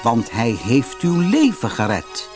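A man's voice: mid-range voice, huge pitch swings, slow pace, music in the background. A man voicing a kindly, earnest good fairy in a storybook, solemn and reassuring. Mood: kind, approving. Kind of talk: fairy-tale storybook reading.

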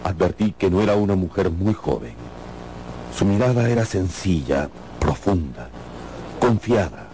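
An actor playing a weary, troubled riverboat captain, telling his journey gravely and theatrically as a radio drama narrator. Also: on the low side, warm tone, a little hiss or hum, poor audio. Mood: haunted, somber.

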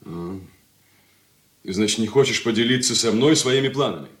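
A man's voice: mid-range voice, moderate pace, close to an everyday voice. Echoey, condescending, sardonic elder brother played by a man. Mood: patronizing. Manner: mocking, patronizing. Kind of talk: Soviet radio drama acting.

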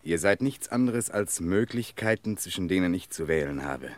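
A man acting in a German radio play as a sceptical, deliberate man who challenges those around him. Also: low voice, expressive, dry recording. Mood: resolute. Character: sceptical man choosing between possible selves.

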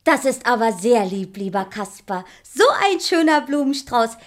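A woman playing a simple, good-natured, excitable character in a children's radio fairy tale, with a naive, exclaiming manner. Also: on the high side, normal speed, close to an everyday voice. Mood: delighted.

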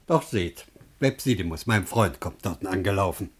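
An actor playing a crafty, excitable, boastful citizen of ancient Greece, declaiming theatrically in a classic German radio comedy. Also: male voice, low voice, moderate pace, breathy edge. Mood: effusive, sly. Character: crafty, excitable Greek citizen, gleeful new-rich braggart.

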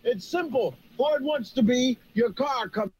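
A man voicing an old TV commercial with a chirpy sales pitch. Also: normal speed, noisy background. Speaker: commercial announcer. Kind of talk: vintage TV commercial voice-over. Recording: old TV ad audio with music.

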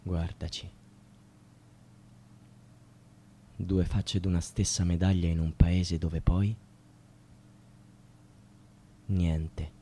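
An actor playing an embittered young student in a radio drama, speaking in solemn, rhetorical, litany-like phrases. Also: male voice, low-pitched, level tone, a little slow, warm tone, slightly rough. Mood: resigned. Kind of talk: theatrical radio drama monologue, litany-like chanting.

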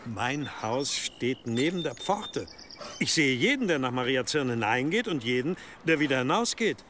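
Smooth, formal monastery abbot. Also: male voice, mid-range voice, sing-song, a bit bright, a little hiss or hum. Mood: pious, courteous. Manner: formal, unctuous, measured. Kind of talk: German radio drama acting.

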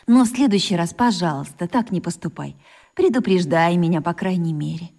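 An actress playing a reproachful mother in a radio drama, with a measured, reproving manner. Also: fairly low pitch, expressive, creaky, warm tone. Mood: mild scolding. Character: reproachful mother, a junior research worker.

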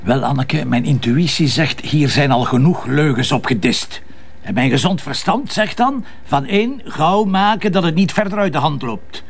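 Stubborn, probing Flemish police inspector for radio drama; creaky voice, swinging pitch, colloquial delivery. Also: male voice, animated, moderate pace. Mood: stubborn, insistent. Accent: Flemish Belgian. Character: stubborn, teasing male Flemish police inspector trusting his intuition. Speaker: actor in a detective audio drama. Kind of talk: naturalistic radio drama dialogue.

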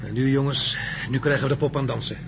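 Actor as quick-talking sceptical astronaut. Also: male voice, mid-range voice, fairly even intonation, creaky, lightly acted. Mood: tense. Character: intense, questioning male astronaut seeking explanations. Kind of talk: science fiction radio drama acting. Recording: noisy drama recording with cabin ambience.

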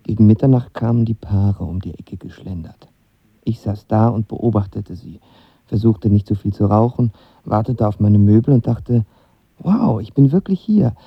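A man's voice: lowish voice, lively intonation, normal speed, gravelly, breathy edge, no room sound, full character voice. An actor playing a lovestruck, nervous young man who tells his story in casual, slangy radio drama narration. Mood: wistful, excited. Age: young adult. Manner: casual, slangy, self-deprecating. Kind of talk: first-person radio drama narration with dialogue. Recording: slightly dull audio with music behind.

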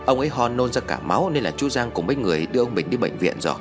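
A younger village man from an audio story, voiced by a male narrator, earnest and worried. He explains things in a plain, hurried way. His intonation moves a lot, and music plays faintly underneath. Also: mid-range voice, expressive, close to an everyday voice. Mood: concerned, explaining.

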